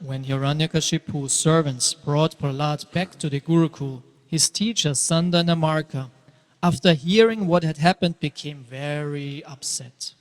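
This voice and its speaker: A man narrating a scripted dramatic scripture reading in a measured storytelling manner. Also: a little high. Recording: very reverberant hall.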